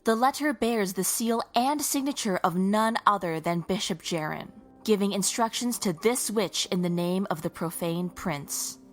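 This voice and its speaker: A woman's voice: medium pitch, normal speed, hardly any pauses, faint music behind, natural voice. A woman playing a stern, defiant holy warrior in a fantasy audio drama, speaking formally and resolutely. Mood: solemn, resolute.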